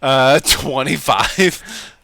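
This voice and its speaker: A man's voice: mid-range voice, expressive, very slow, gravelly, pushed and bright, no echo, studio quality. A man chatting casually as a player in a tabletop game.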